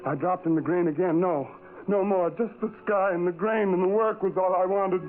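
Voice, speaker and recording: An actor playing a lonely, tormented man in a radio horror drama, with a brooding, halting, intense confessional delivery. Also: high voice, fairly even intonation, close to an everyday voice. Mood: agitated, pleading. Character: lonely, tormented, self-loathing man who hides from people. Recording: tinny old radio recording, music behind.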